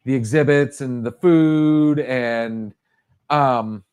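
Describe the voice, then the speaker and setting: A man's voice: mid-range voice, slow, darkish tone, clear, resonant tone. Rambling man in his forties. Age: 40s. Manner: rambling, with many restarts and fillers. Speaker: podcast co-host. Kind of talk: casual chat.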